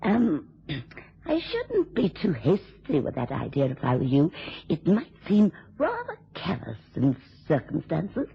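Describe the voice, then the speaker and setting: An actress in a radio drama playing a drunken, taunting, spiteful wife with a theatrical, mocking drawl. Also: female voice, low voice, wildly swooping pitch, takes it slowly, vocal fry, breathy edge, full character voice. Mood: scornful, vicious. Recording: dull, lo-fi old radio recording.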